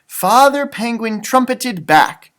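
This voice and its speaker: A man reading a children's picture book aloud in an expressive, teacherly way, with casual chatty asides. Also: very high-pitched, slow pace. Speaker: storybook reader, elementary school teacher.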